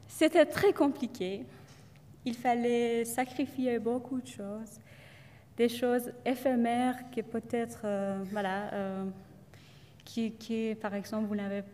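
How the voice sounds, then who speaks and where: Young woman, second-language speaker, halting testimonial talk, medium pitch, reverberant hall. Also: darkish tone. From Iran. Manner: hesitant, many fillers and restarts. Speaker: student speaker.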